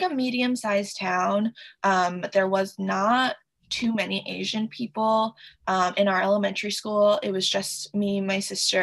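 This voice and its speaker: A woman's voice: mid-range voice, vocal fry. An American woman whose first language was Mandarin, chatting casually as a podcast guest, with many fillers and little laughs. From United States (Connecticut). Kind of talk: casual conversation.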